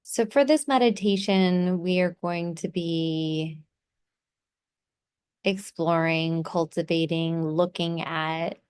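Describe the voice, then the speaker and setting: A woman guides a meditation in a smooth, clear voice that sits a little low. She speaks very slowly and soothingly, leaving space between short phrases as she gives gentle instructions. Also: fairly even intonation.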